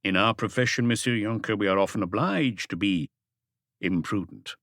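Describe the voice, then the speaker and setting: Man playing a low-voiced, probing police detective. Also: a bit bright, no echo, studio quality. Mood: measured, firm. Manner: formal, deliberate, probing. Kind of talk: audiobook character dialogue.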